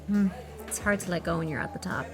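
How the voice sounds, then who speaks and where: A woman's voice: lowish voice, fast talker, lightly acted. A woman playing a guarded, level-headed operative in a naturalistic drama, dry and pointed. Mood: reflective. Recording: music under dialogue.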